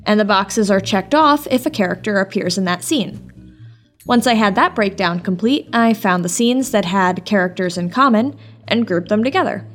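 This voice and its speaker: A woman's voice: medium pitch, lively intonation, moderate pace. Candid woman podcaster over music. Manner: candid, self-deprecating, playfully dramatic. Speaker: podcast co-host and production organizer. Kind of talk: conversational podcast narration.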